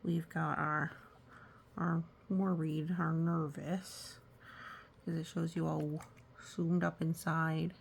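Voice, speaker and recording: A woman reading aloud for children in a storytime style, gently explaining with casual asides. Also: deep voice, level tone, slow, vocal fry, slight background noise. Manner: gentle explaining to young listeners. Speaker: storytime reader.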